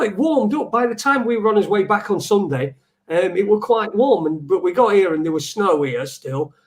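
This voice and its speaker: A man with a Sheffield accent co-hosting a live-stream show, chatting in a rambling, casual way and swearing freely. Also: a little high. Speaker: co-host.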